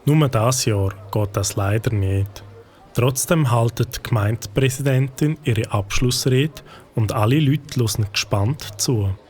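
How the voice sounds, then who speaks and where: Brief: Swiss German male storyteller, calm and even, mid pitch. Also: measured pace. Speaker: narrator. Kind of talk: storybook narration.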